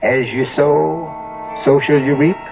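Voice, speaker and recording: A man hosting and narrating a 1970s radio drama in a theatrical, ominous, deliberate scripted style. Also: a little high, steady tone, vocal fry. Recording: muffled old radio broadcast, loud music. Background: loud dramatic music.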